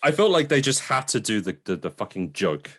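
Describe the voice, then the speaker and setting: A man's voice: on the low side, big pitch swings, a little fast. A man co-hosting a casual, conversational film review, informal and sometimes sweary as he qualifies his opinions.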